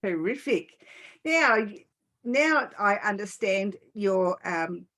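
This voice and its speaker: A woman hosting a podcast, giving introductions and questions in a presenterly way with pauses mid-sentence. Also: medium pitch, animated, a bit bright. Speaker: podcast host. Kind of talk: scripted introduction and interview questions.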